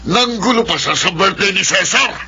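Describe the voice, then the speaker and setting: An actor playing a stern middle-aged uncle in a radio drama, forceful and scolding. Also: male voice, high-pitched, expressive, moderate pace, full character voice. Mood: indignant scolding. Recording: muffled, noisy lo-fi drama audio.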